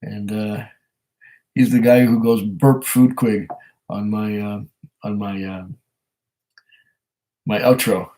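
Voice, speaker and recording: Hoarse man chatting casually on a live stream. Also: on the low side, flat delivery, slow, long pauses, warm tone, low-quality recording. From Canada. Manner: rambling, hesitant, chuckles often. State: hoarse from a cold. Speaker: whiskey channel host reading live chat.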